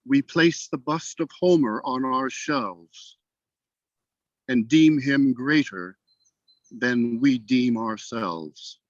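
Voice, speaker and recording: A man speaking formally and deliberately as a poetry reader, heard over a video call. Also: medium pitch, no echo. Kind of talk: measured poem recitation, then thoughtful comment. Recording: dull, lo-fi call audio.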